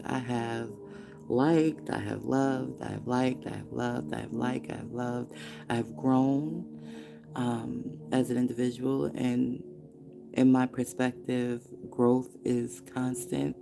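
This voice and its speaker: A podcast host talking casually and reflectively on a solo broadcast, musing aloud with repetitive phrasing and frequent pauses. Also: medium pitch, gravelly.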